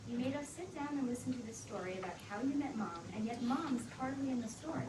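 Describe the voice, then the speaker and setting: A woman's voice: fairly high pitch, quick pace, close to an everyday voice. An actress reading the part of a blunt, exasperated teenage daughter challenging her dad in a sitcom script. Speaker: actress at a script table read. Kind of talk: sitcom script read aloud. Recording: echoey, very noisy room, distant mic.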